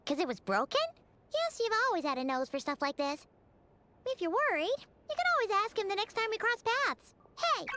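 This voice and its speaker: A woman voicing a tiny, childlike, cheeky and excitable female fairy companion in a video game, in a squeaky voice. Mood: excited. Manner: squeaky, speaks of herself in third person. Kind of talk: cartoonish scripted game dialogue. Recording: music behind the voice.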